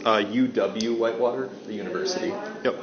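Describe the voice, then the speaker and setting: A police officer speaking calmly and patiently through an arrest, reading forms word for word, recorded on his body camera. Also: mid-range voice, quick pace. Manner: calm, patient, reads forms verbatim. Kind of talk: procedural arrest talk. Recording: muffled bodycam audio with loud noise.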